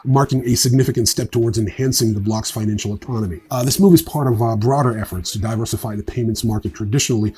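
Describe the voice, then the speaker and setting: A man's voice: lowish voice, steady tone, quick pace, few pauses, a little mellow, husky. A man hosting a news show, delivering informal scripted commentary in a blunt, wry, opinionated manner. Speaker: host of a crypto news show.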